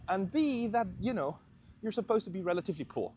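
A man in his thirties thinking aloud haltingly in a casual monologue while walking, recorded outdoors on a handheld camera. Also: high voice, animated, warm tone. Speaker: vlogger and commentator. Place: outdoors on a sunny residential street. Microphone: handheld camera at arm's length. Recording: outdoor handheld recording with faint ambient noise.

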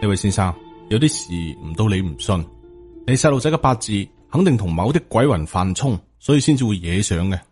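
A pompous Taoist ghost-hunter , voiced by a male narrator, speaks with formal courtesy in Hong Kong Cantonese. He is measured and self-important, a storybook exorcist with music playing underneath. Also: on the low side, lively intonation, a little mellow, breathy edge. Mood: solemn persuasion.

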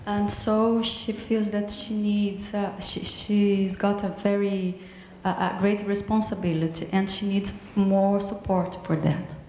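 A woman's voice: medium pitch, little pitch movement, measured pace, mellow, a little hiss or hum. A woman interpreting aloud in a large hall, hesitant and full of fillers. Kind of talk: live interpreting. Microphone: handheld mic. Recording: echoey hall, long reverb.

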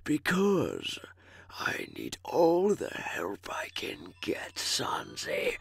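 A man voicing a sinister cloaked spirit in a comic dub, patient, condescending and breathy, with deliberate menace. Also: on the high side, huge pitch swings, no room sound, in a whisper, clean studio sound, exaggerated voice. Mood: whispered menace. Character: sinister cloaked hermit spirit, coldly patient, vengeful manipulator. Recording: music behind.

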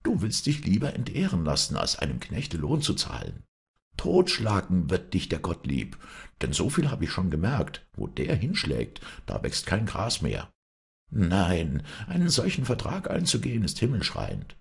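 Man as indignant squire's wife, storybook character, screechy, scolding, bossy, raised pitch, gravelly edge. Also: very animated, measured pace, heavily acted. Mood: screeching outrage. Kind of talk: dramatized storybook reading.

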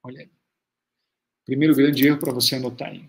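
A man's voice: mid-range voice, steady tone, fairly quick, creaky. A Brazilian man in his forties with a Brazilian Portuguese accent, teaching public speaking to camera in an online lesson, stressing points by repeating them. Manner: emphatic, repeats key phrases for stress. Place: small room at home, indoors.

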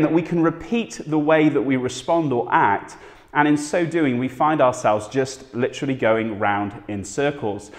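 Pastor guy in his 30s doing a sermon at home, earnest and chatty, middle pitch, lots of room echo. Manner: earnest, conversational, gesturing while speaking. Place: small home living room, indoors.

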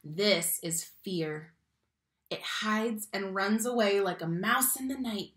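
Young woman, children's read-aloud host. Bright, expressive delivery with emphatic key words, roomy home recording. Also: medium pitch. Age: young adult. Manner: animated, slow emphatic phrasing on key words, addresses children directly. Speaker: read-aloud teacher and storyteller. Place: small room at home, indoors. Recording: roomy home webcam sound.

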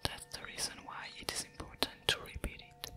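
A woman with a French accent guiding listeners through a soothing ASMR affirmation, leaving long pauses between short phrases. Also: bright, forceful tone. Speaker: meditation and ASMR guide. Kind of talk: whispered ASMR guided affirmation.

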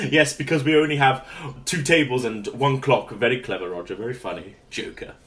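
A man playing an inept, pompous, eager shopkeeper brother in a comedy radio play, dithering and excitable. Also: mid-range voice, moderate pace, slightly rough. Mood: amused, eager. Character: inept, pompous, eager upper-class brother running antique shop.

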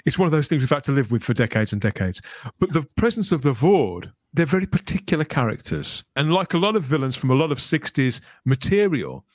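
A man's voice: a little high, very animated, brisk, dry recording, clean studio sound. A man hosting a podcast, interviewing his guests in a conversational style. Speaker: podcast host. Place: remote online video call.

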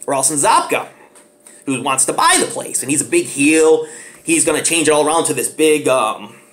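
A man in his thirties talks through a movie review in a small living room, speaking straight into his webcam. He rambles eagerly and fills gaps with ums, and his pitch swings high and low as he gets excited. It is casual and unscripted, like a home video. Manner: rambling, enthusiastic, lots of fillers.

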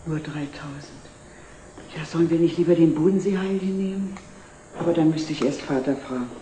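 A woman's voice: deep voice, brisk, dark tone, close to an everyday voice. An actress playing a well-off, chatty middle-aged lady customer in a 1960s TV drama. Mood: decisive, gracious. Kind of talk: 1960s German television drama acting. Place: indoor antique shop set. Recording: roomy, noisy old TV soundtrack.

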